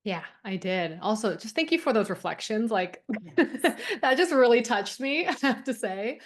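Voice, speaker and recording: A woman's voice: a little high, animated, a little slow, slight background noise. A woman in her thirties from Hong Kong, a podcast guest talking thoughtfully about her life with easy laughs. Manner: soft, thoughtful, laughs easily, frequent "um". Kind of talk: casual conversational interview.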